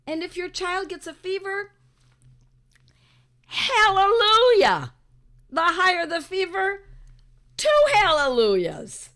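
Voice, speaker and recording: Raspy-voiced woman educator, high and lively, chatty and emphatic. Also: takes it slowly, long pauses. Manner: animated, emphatic, repeats words for effect. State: raspy from draining sinuses. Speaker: homeopathy educator. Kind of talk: casual monologue.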